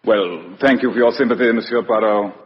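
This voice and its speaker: Man acting a low-voiced pompous gentleman, old radio. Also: brisk, close to an everyday voice. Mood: stiff, pompous. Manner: stuffy, formal, pompous. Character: stiff, pompous, self-satisfied gentleman. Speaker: radio actor. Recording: muffled, reverberant vintage radio recording.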